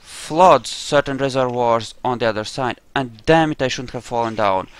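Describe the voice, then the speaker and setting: A man's voice: medium pitch, normal speed, clean tone, dry recording. A man casually narrating his own video game playthrough, thinking aloud in an offhand, sweary way.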